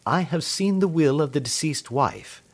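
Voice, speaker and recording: A man voicing a calm, amused detective who speaks quietly and imperturbably. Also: a little high, moderate pace, voice pushed up. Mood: explaining.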